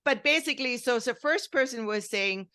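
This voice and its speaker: A woman's voice: fairly high pitch, animated, moderate pace, bright, forceful tone. A woman from Germany with a German accent, telling a story in earnest, rambling podcast talk and often checking in with "right?". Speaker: podcast host.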